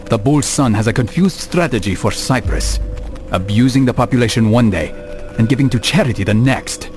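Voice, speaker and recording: An actor playing a calm, watchful, serious medieval assassin in a video game, speaking tersely. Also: male voice, medium pitch, moderate pace, faint music behind, close to an everyday voice. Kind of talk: video game cutscene acting.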